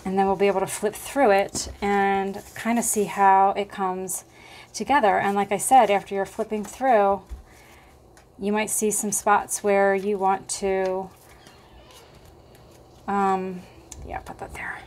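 Unhurried, slightly hoarse woman crafter. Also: medium pitch, slight background noise. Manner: relaxed, chatty, thinking aloud with many fillers. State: slightly hoarse, losing her voice. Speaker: craft tutorial host. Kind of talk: casual crafting tutorial. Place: indoors at a craft table.

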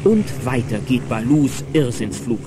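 A man's voice: medium pitch, big pitch swings, a little slow, very noisy. A man narrating a children's adventure radio play in a dramatic, playful manner. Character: lively storyteller of a children's adventure. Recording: music and engine sounds behind.

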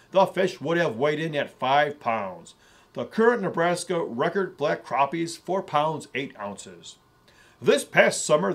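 Man as smug anchorman, husky, swinging pitch, a bit high. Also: heavily acted. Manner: pompous anchorman delivery. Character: pompous mustached male news anchor, adult. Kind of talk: parody news segment. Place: studio in front of a virtual news set.

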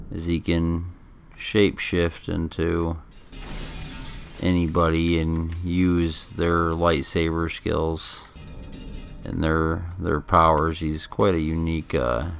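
A man giving casual, laid-back, somewhat halting commentary as he plays a video game. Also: deep voice, vocal fry, a bit bright. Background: game music.

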